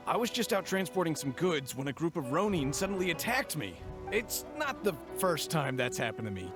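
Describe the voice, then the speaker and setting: High-voiced, jittery merchant over music. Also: male voice, very animated, normal speed. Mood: shaken. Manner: nervous, pleading. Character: jittery, frightened male merchant, a family man. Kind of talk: English video game voice acting. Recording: game music behind the voice.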